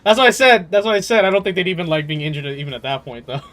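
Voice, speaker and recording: Guy streamer chatting casual, bit high pitched, jokey and animated, quiet game music in back. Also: racing. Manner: animated storytelling, jokey, swearing, laughs often. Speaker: game livestreamer. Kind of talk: casual chat with viewers while gaming.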